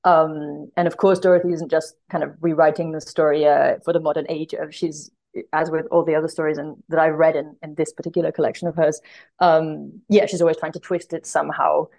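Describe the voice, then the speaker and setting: A woman's voice: deep voice, level tone, fairly quick, vocal fry, a little mellow, low-quality recording. A woman guest talking thoughtfully about literature in conversation, hesitant and correcting herself as she thinks aloud. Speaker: podcast guest, literary translator.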